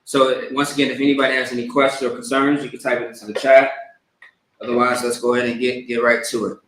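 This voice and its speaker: A man's voice: medium pitch, flat delivery, fast talker, a bit bright, a little hiss or hum. A man leading an online religious service as a priest, teaching and often checking in with short tag questions. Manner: repeatedly checks in with "all right?" and "okay?". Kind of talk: religious teaching, live-streamed sermon. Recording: echoey room.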